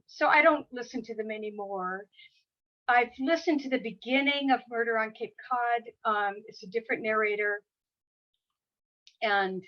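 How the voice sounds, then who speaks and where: An older woman in her sixties chats with a guest's easy, rambling flow, restarting her sentences as she goes. Her voice sits fairly high. The sound is dull and lo-fi, as if coming through a video call. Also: a bit bright. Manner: chatty, rambling, with many restarts and laughs. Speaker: guest author. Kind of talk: casual conversation. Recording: dull lo-fi audio.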